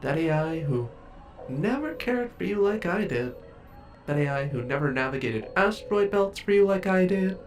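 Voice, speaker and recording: A man's voice: sits high, lively intonation, normal speed, far from the mic. A man playing an obsessive, possessive ship AI in a scripted ASMR roleplay, speaking in formal, precise, coldly polite phrases. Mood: menacing, wounded. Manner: formal, precise, clinical wording. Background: music.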